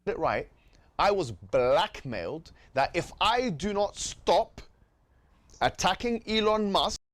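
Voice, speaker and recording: A man's voice: high voice, animated, slow, a bit bright. A man giving an earnest statement in a played video clip.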